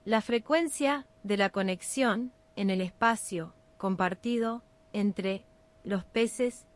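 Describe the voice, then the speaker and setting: A woman with a Rioplatense Spanish accent narrating a scripted bedtime meditation in a soothing, unhurried, measured ASMR style. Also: medium pitch.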